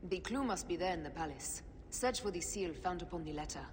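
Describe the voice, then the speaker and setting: An actress playing a vengeful, loving woman avenger of ancient Egypt in a video game cutscene, speaking with intimate, deliberate intensity. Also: on the low side, expressive, darkish tone, natural voice. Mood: urgent, resolute. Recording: long echoing reverb, clear background noise.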